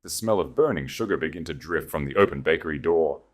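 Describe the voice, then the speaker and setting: Male audiobook narrator, very low voice, dramatic, wide-ranging delivery. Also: expressive, fast. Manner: intense, dramatic storytelling.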